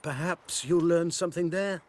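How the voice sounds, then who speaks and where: A man's voice: fairly high pitch, moderate pace, a little mellow, slight background noise, natural voice. A man playing a nervous travelling merchant in a video game, hesitant and apologetic. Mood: helpful.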